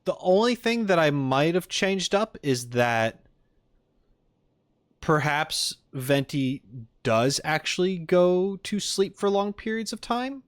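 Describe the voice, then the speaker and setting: Male streamer, animated and swooping in pitch, rambling casual chat, joking manner, close dry sound. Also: slow, no room sound. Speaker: streamer reacting to game videos.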